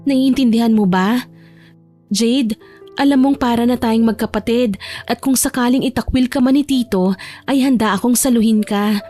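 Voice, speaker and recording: Woman acting a high-voiced, pleading older cousin. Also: normal speed, warm tone, soft background music, lightly acted. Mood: anxious pleading. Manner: pleading, sisterly. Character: caring, anxious older female cousin, gently scolding. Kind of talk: audio drama dialogue.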